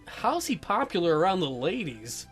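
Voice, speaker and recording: A woman's voice: on the low side, big pitch swings, moderate pace, breathy edge, soft background music. A woman hosting a let's play with casual, joking commentary in a sarcastic, teasing manner. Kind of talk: casual joking game commentary, reading dialogue aloud.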